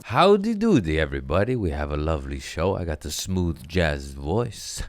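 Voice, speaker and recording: A man's voice: very deep voice, big pitch swings, normal speed, a little hiss or hum. A man, a comedian hosting his podcast, talking in a casual, crude, rambling way and breaking off mid-sentence to restart. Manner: crude, rambling, jokes at his own expense. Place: podcast studio.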